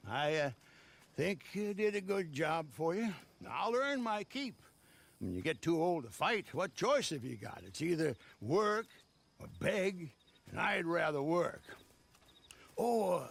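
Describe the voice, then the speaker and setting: An actor playing a chatty, wry older retired gunslinger in a western game, with a gravelly, rasping voice and a rambling, halting delivery. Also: male voice, fairly high pitch, full character voice. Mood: genial, rambling. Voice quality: gravelly, raspy. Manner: rambling, halting, chuckling asides. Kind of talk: western video game cutscene acting.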